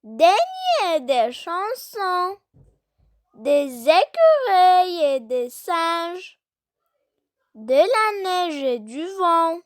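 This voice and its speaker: A childlike voice reciting a poem for young learners, deliberately and phrase by phrase, with long pauses. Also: very high-pitched, huge pitch swings, no echo, studio quality.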